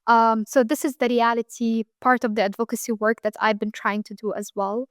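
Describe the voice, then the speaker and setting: A young woman from Lebanon speaks as an interview guest, earnest and reflective, often filling pauses with "um" and "like." Her voice sits a little high, and the recording is dry and close with no room sound. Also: flat delivery, dry recording. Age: 20s. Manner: earnest, frequent fillers like "um" and "like".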